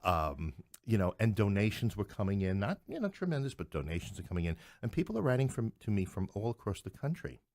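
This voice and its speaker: A middle-aged man speaks in a low voice, recorded close and dry. He talks conversationally as an interview guest, slipping in wry, joking asides as he explains things. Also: big pitch swings, fast talker, hardly any pauses, a little mellow, dry recording. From New York City. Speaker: playwright and podcast guest.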